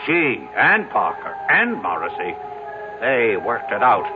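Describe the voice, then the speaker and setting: A man's voice: fairly low pitch, huge pitch swings, slow pace, full character voice. An actor playing a paranoid, domineering businessman in an old-time radio drama, agitated, repetitive and faltering. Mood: accusing. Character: paranoid, domineering businessman, frantic and losing his strength. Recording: tinny old radio broadcast, music behind.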